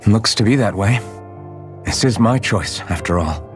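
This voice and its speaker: Resolute young swordsman, even mid voice, loud music bed. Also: lowish voice, a little slow. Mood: earnest. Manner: earnest and resolute. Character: determined young male swordsman hero. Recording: game audio over loud music.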